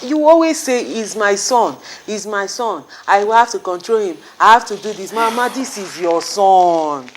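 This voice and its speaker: A woman's voice: medium pitch, expressive, normal speed, hardly any pauses, slight background noise, lightly acted. An actress playing a wife who mocks her kneeling husband as she prepares to leave the country. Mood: scornful. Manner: mocking, scornful. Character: defiant wife about to emigrate with her children.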